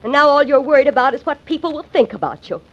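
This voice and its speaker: An actress voices an earnest, defiant young heroine in an old radio mystery. Her voice is high, and the recording has the dull, muffled sound of a vintage broadcast. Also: fast talker, lightly acted. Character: spirited young woman, wounded heroine. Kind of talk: 1940s radio acting.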